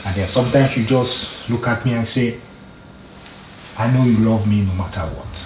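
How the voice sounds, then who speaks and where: A middle-aged man with a Nigerian accent, sharing slowly and haltingly with many restarts in a group talk. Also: lowish voice. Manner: slow, halting, with repeated restarts. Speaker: group member, father. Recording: roomy, distant mic, faint noise.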